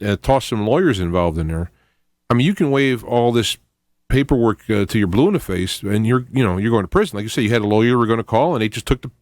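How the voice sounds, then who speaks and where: A man's voice: mid-range voice, dry recording, studio quality. A middle-aged man hosting a radio interview, explaining his points at length in colloquial, conversational language. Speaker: radio host.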